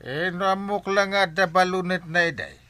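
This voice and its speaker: An actor with an Ilocano accent playing a bossy, boisterous, drink-loving village captain in a radio comedy drama. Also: male voice, high-pitched, moderate pace, dry recording. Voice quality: animated, swooping delivery. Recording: music behind the voice.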